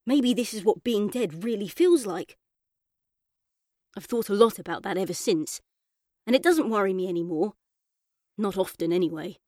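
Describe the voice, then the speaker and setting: Boy narrator for a children's audiobook, read by a woman. Medium-pitched, cheeky but thoughtful, expressive, dry recording. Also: moderate pace, pauses often, dry recording, natural voice. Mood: urgent, tense, then matter-of-fact. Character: young football-loving boy narrator, thoughtful, cheeky, trapped in coma. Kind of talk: first-person audiobook storytelling.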